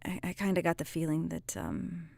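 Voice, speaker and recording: A woman playing a guarded, rueful policewoman in her thirties in an audio drama, with a hesitant, halting delivery. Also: fairly low pitch, steady tone, creaky, darkish tone, no echo. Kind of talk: naturalistic audio drama acting.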